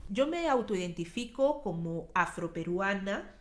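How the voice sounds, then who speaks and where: A woman's voice: mid-range voice, expressive, measured pace. Earnest Peruvian woman speaking firmly. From Peru. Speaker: interviewee. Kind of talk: documentary testimony.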